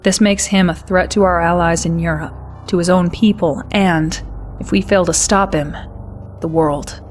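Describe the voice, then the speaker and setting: Woman acting a low-voiced, wry intelligence officer. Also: steady tone, moderate pace, faint music behind, lightly acted. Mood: brisk, wry. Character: brisk, wry female military intelligence officer. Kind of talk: scripted mission briefing.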